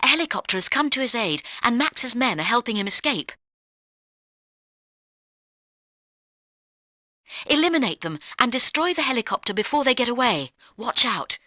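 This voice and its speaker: Emphatic female spy teammate, lively pitch. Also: dry recording, studio quality. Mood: alarmed, urgent. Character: brisk, cautioning female spy teammate briefing the agent. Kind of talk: video game voice acting.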